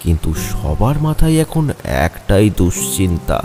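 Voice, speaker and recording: A man's voice: deep voice, very animated, moderate pace, loud music behind the voice. A man narrating a scripted horror story with dramatic storytelling delivery.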